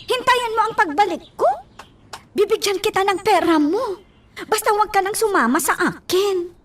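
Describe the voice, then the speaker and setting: Squeaky chirpy filipina vendor girl in a radio drama, pleading, super high, noisy recording. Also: normal speed. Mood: pleading, evasive. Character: squeaky, chirpy, evasive young woman vendor, Cleopatra. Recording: noisy, distant from microphone.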